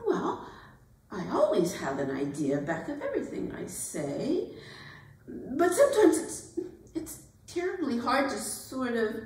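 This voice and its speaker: A woman acting a high-pitched, gushing society girl. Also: darkish tone, exaggerated voice. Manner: breathless, gushing, trailing off mid-thought. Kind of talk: theatrical monologue, readers theatre. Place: performance hall at an arts center. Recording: very echoey hall, distant mic.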